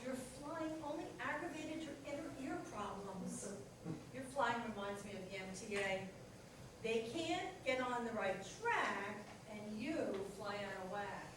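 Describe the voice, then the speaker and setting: A woman's voice: on the high side, animated, measured pace, distant mic. A woman playing a practical, nagging companion to a superhero, dry and scolding, in a staged comedy play reading. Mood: exasperated. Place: echoey room at a live staged reading. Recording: very echoey hall from afar, clear noise.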